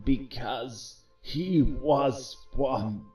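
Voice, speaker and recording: A man playing a knowing robot accuser who speaks in a drawn-out, pointed way in an audio drama. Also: mid-range voice, mellow, soft background music. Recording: echoey, distant from the mic.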